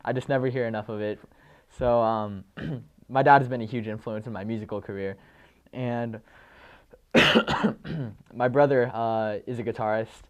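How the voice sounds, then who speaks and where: A teenage high school drummer answering interview questions in a polite, steady, slightly formal way, clearing his throat now and then. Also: moderate pace, long pauses, dull audio. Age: late teens.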